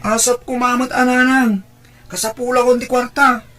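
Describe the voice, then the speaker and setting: A woman's voice: on the high side, level tone, normal speed, a bit bright, natural voice. A woman playing a demanding, petulant schoolboy son in a radio drama, with a Filipino accent. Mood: sulky, demanding. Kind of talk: Ilocano radio drama acting.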